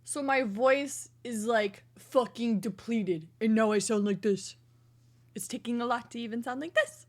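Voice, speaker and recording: A hoarse woman streamer chatting casually to her livestream in a chatty, self-deprecating way from a room at home. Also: fairly high pitch, unhurried.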